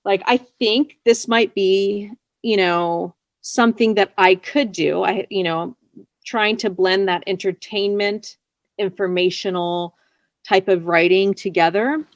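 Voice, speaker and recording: A woman's voice: mid-range voice, steady tone, slow pace, dry recording, muffled recording. Female interview guest, writer. Casual, chatty, self-effacing delivery, very little room sound. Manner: chatty, self-deprecating, often laughing lightly as she talks.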